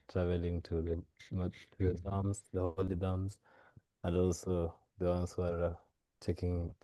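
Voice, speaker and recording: A man's voice: deep voice, dark tone, no room sound, low-quality recording. A young man closing a devotional group call with polite, formal thanks. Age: young adult. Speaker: session closer.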